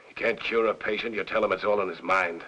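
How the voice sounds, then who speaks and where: Bitter, tense sickbed husband. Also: male voice, lowish voice, steady tone, quick pace, pushed and bright, low-quality recording. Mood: bitter, resigned. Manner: sardonic, morbid, argumentative. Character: bitter, suspicious, bedridden husband with a bad heart. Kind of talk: film noir acting. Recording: vintage film soundtrack, faint music behind.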